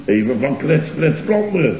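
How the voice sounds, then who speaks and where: An actor playing a panicky, self-important boss in a Dutch radio comedy, stammering and repeating words emphatically, with a Netherlands accent. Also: male voice, a little high, very animated, normal speed. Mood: pompous, indignant. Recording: dull, noisy, roomy old radio recording.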